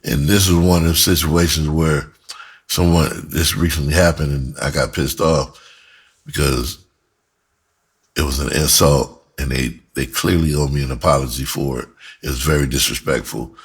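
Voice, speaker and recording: A man's voice: rumbling low, slightly rough. A man telling stories casually as a vlogger, with informal, streetwise, profane phrasing. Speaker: vlogger and rap musician. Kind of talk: casual storytelling to camera.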